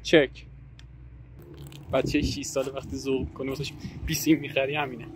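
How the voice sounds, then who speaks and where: A man's voice: mid-range voice, flat delivery, a little hiss or hum. A young man co-hosting a casual vlog outdoors, trading joking radio banter with a friend. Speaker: co-host.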